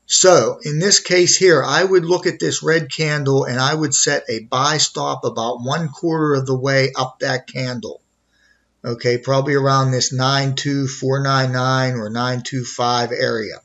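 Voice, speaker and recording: A man's voice: medium pitch, fairly bright tone. Man giving a tutorial, slightly dull recording. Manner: methodical, step-by-step explaining. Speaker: trading instructor. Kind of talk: informal screen tutorial walkthrough.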